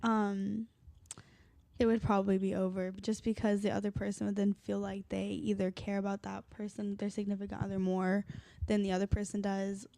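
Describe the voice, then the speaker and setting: A woman's voice: mid-range voice, completely flat delivery, moderate pace, creaky, dark tone, no echo. A twenty-year-old woman giving her opinions as a guest in casual podcast chat.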